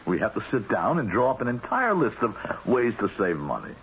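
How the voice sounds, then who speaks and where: A man's voice: fairly low pitch, wildly swooping pitch, brisk, fairly bright tone, full character voice. A man playing a harried, money-worried husband in a radio drama, earnest and exasperated with his wife. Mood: weary, worried. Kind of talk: 1970s radio drama acting. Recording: dull old radio broadcast, faint hiss.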